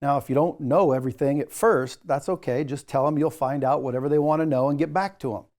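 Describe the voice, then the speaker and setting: Mellow-voiced male sales trainer, emphatic. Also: fairly high pitch, fairly even intonation, quick pace. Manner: emphatic, jokey asides, coaching tone. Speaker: sales trainer, course instructor. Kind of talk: instructional presentation to camera, direct address.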